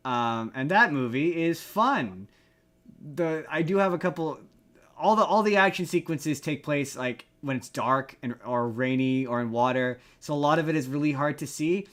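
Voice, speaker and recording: A man's voice: fairly high pitch, lively intonation, moderate pace, fairly bright tone. A man co-hosting a podcast in casual chat, rambling and repeating himself for emphasis. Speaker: podcast co-host. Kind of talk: casual chat reviewing movies.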